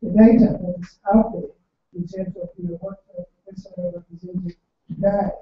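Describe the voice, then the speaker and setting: A man's voice: high-pitched, level tone. Man from the audience, hesitant and rambling, phone-thin sound, heavy room echo, far from the mic. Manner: halting, many ums and you-knows. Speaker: audience member. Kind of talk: hesitant spontaneous comment. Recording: tinny, very echoey and far off.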